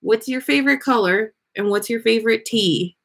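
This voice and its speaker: A woman hosting a livestream in a playful, expressive manner. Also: on the high side, moderate pace, vocal fry. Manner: playful, sweary, teasing, expressive. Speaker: podcast host reading fan fiction on a livestream. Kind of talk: casual livestream banter.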